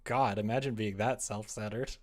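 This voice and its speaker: A man's voice: fairly low pitch, steady tone, normal speed. A young man chatting casually and playfully as a livestreamer, reading and answering his viewers at his computer. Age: young adult. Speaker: streamer. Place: small room at home, indoors.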